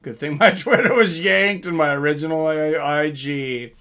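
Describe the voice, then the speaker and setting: Bright-voiced male livestream fight host. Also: fairly high pitch, normal speed. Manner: rambling, reading out and answering chat comments. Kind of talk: casual chat with live stream viewers and fight commentary.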